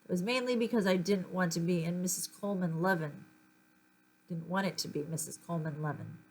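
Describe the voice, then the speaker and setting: A woman reading a children's story aloud in an expressive, dramatic storytelling manner. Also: on the low side, brisk, a little hiss or hum. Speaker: audiobook-style reader.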